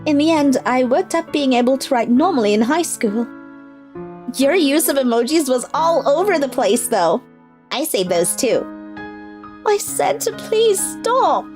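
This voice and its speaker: Woman doing a young anime schoolgirl, high voice that swings around a lot, music under it. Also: expressive, a little slow, a little mellow. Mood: teasing. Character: schoolgirls in an animated story, youthful and expressive. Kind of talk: scripted animated dialogue. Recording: music behind the voice.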